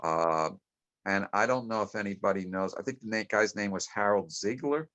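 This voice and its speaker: A man's voice: on the low side, normal speed, a bit bright, dry recording. A man giving reflective, conversational comments during a group video call. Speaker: participant commenting at an online club meeting.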